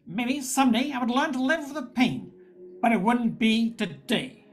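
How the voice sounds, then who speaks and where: A woman's voice: a little high, sing-song, normal speed. A woman playing a wounded, wistful noir hero who narrates an amateur space radio play.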